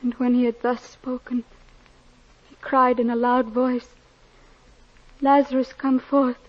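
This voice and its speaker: A woman's voice: high voice, level tone, measured pace. An actress playing a devout, loving, steadfast young woman in an old-time radio drama, speaking with solemn earnestness. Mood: solemn, reverent. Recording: muffled, noisy old radio recording.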